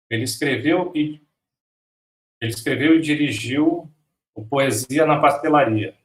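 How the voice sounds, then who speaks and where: A man's voice: medium pitch, fairly bright tone. A man, a filmmaker on a panel, answering with many hesitant restarts. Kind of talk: answering questions in a panel talk. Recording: echoey room, far from mic.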